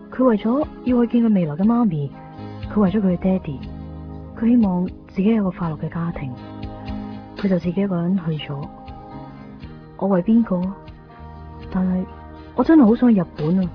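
Actress as earnest yearning young Hongkonger, muffled. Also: female voice, on the low side, animated, brisk, long pauses, close to an everyday voice. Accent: Hong Kong Cantonese. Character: yearning, self-doubting young woman in love. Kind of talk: radio drama inner monologue. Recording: dull 1990s radio recording. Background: faint music.

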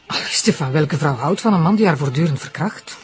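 An actress playing a distrustful, frightened Flemish woman in a television crime drama, halting and stammering over music. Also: low-pitched, animated. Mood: uneasy. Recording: music under the dialogue.

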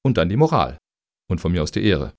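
A man's voice: low voice, very animated, fairly quick, exaggerated voice. A man acting in a radio satire as a blunt, cynical grant applicant with a dry, sarcastic manner. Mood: blunt, defiant.

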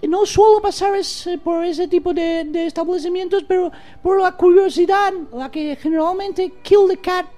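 A woman's voice: extremely high pitch, normal speed, few pauses, warm tone, soft background music. A woman playing a boastful, flirty American nun who speaks Spanish with an American accent and keeps slipping into English. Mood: giddy. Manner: giggly, mixes English into Spanish, rambling. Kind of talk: improvised comic radio sketch.

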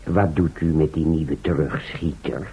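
An actor in a radio drama playing a solemn, courteous fifteenth-century man, formal and stately, with a husky voice. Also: a bass voice, fairly even intonation, measured pace, mellow, heavily acted. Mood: grave, polite. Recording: dull old radio recording.

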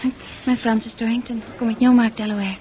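Candid, high-voiced young woman played by an actress. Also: level tone, a little fast, darkish tone, natural voice, poor audio. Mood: curious. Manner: talkative, confiding, emphatic. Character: candid, troubled young woman confiding in new acquaintance. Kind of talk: Dutch radio play acting.

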